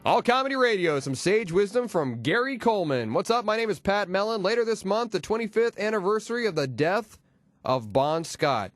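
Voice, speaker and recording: A man's voice: high voice, big pitch swings, brisk, dry recording, faint music behind. A man hosting a comedy radio show, delivering jokes and station patter in a punchy, wisecracking way on a close studio microphone. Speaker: radio host and DJ.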